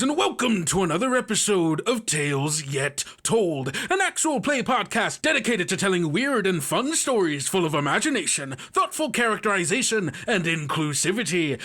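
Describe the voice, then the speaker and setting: A man hosts a tabletop podcast with playful, theatrical showmanship. His high, bright voice swings widely in pitch, and his delivery is jokey and flowery. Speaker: podcast host and game master. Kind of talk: playful theatrical show introduction.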